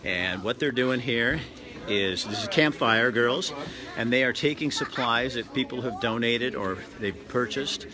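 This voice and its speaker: Upbeat low-voiced male reporter. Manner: friendly, joking, enthusiastic questions. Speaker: TV host, roving reporter. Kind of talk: upbeat on-location interview. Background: crowd chatter.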